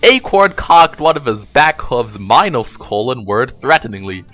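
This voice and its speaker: A man's voice: mid-range voice, big pitch swings, measured pace, pushed and bright, soft background music. A young man giving a dramatic reading of comic fiction, narrating between character lines. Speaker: reader.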